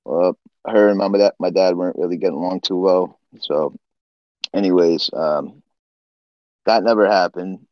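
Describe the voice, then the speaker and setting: Deep-voiced laid-back man from Nepal. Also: fairly even intonation, normal speed, pauses often, no echo, muffled recording. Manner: laid-back, laughs often, trails off with "uh" and "you know". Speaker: guest, swimbait builder. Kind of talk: casual storytelling interview.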